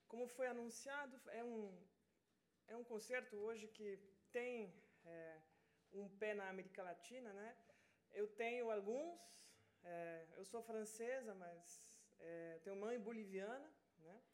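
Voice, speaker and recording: Mulher chatinha, hesitante entre músicas. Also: female voice, mid-range voice, slow pace. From Venezuela. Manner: chatty, with frequent hesitations and "né?" tags. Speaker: musician performing a concert. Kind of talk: casual stage talk between songs. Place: concert venue, live audience.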